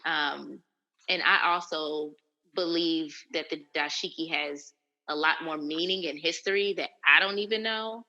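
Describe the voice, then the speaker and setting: A woman co-hosting a podcast, talking in a measured, thoughtful, hedging way. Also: sits low, bright, forceful tone, dull audio. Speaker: podcast co-host. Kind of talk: casual chat.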